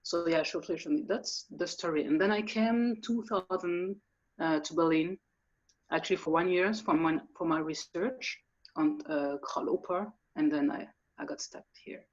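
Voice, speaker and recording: A woman's voice: on the low side, moderate pace. Woman on a muffled call. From France. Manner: hesitant, many fillers and restarts. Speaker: guest musician. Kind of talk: video call interview. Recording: dull lo-fi audio.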